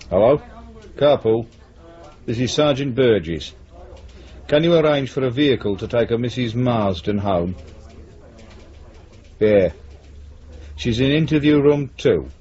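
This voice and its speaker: An actor playing a British police sergeant in a radio drama, brisk, matter-of-fact and colloquial, reassuring yet dismissive. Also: male voice, medium pitch, darkish tone, lightly acted. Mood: dry, offhand. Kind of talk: naturalistic radio drama acting. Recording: slightly dull radio drama recording.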